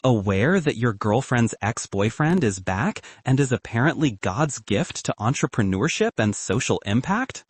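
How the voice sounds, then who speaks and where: A teasing young roommate in an audiobook, voiced by a man. He is blunt and sarcastic, fed up with his friend. The delivery is animated, with a husky grain. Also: mid-range voice, normal speed, few pauses, dry recording. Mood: mocking. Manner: sarcastic, exasperated.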